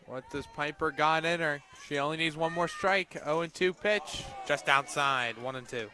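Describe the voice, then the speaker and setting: A man doing live radio play-by-play of a softball game as the announcer. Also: a little high, normal speed. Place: outdoors at a ballfield, on a platform by tables. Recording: outdoor ballfield noise behind the voice. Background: loud outdoor ambience.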